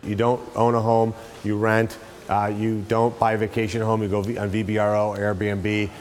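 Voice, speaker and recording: A man speaks off the cuff in an interview, halting and restarting. His voice is fairly low with very little pitch variation, recorded over faint ambient noise. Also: little pitch movement.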